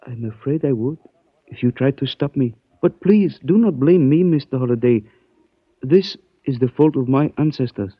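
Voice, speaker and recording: An actor playing a suave, menacing older Chinese man in a 1940s radio mystery. He speaks formally and politely, in a measured way, and likes proverbs. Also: mid-range voice, lively intonation, breathy edge, no echo. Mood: calm menace. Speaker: mystery character in a radio drama. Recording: dull, dry old radio recording.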